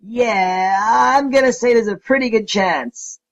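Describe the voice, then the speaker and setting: A man playing a cocky, childish male relationship detective in a comedic audio play, with brash, excitable banter. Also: sits high, measured pace, lo-fi sound. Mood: triumphant. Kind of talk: amateur comedic audio drama.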